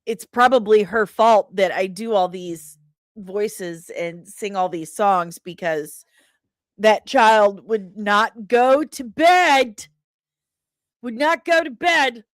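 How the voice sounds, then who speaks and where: A woman hosting a casual livestream, chatting and reading out comments in a playful, expressive, sing-song way. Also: on the high side, no room sound. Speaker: live stream host reviewing comics and screen news. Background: faint background music.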